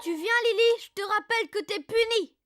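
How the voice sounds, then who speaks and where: A woman's voice: very high voice, fairly quick, loud music behind the voice. A woman voicing a cheeky tattletale schoolboy in a children's cartoon. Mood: smug, tattling.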